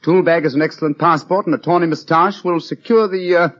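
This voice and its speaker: An actor playing a brisk, self-assured British gentleman detective with clipped, eloquent delivery in a 1940s radio drama. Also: on the high side, fairly even intonation, natural voice. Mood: breezy, theatrical. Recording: muffled old radio recording.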